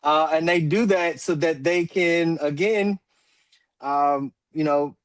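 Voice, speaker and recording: A man in his forties hosting a roundtable talk show, speaking in a measured way with many fillers and restarts and the odd throat clear. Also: on the high side, dry recording. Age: 40s. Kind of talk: roundtable discussion.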